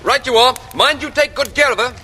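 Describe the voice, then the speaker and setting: An actor in a vintage radio drama playing a stuffy English gentleman, hesitant, fussy and blustering. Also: extremely high pitch, brisk. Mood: hearty. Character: fussy, flustered upper-class English gentleman. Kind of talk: 1940s radio acting. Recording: noisy vintage radio recording.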